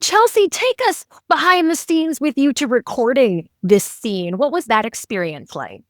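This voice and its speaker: Female podcast host, higher register, upbeat interview style, very dry sound. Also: sing-song, moderate pace, a bit bright, no echo. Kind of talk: upbeat show hosting and interviewing.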